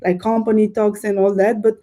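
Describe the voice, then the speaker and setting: A woman's voice: mid-range voice, flat delivery, dark tone. A woman in her thirties with a Romanian accent, a guest telling stories eagerly in a casual interview. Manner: fast, eager storytelling with fillers.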